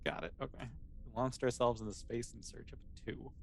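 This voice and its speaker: A man casually improvising narration as the game master of a tabletop role-playing game, with conversational, halting phrasing. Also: medium pitch, fairly even intonation. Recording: clear background noise.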